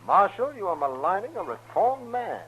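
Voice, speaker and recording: A sly dandy of a cardsharp with pompous, theatrical phrasing. His highish voice rises and falls with lively flourish. It comes from a vintage radio drama with faint noise behind it. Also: moderate pace. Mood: grandly smug. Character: flowery, sly, dandyish crooked card gambler. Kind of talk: 1950s radio western acting. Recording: dull old radio recording, faint noise.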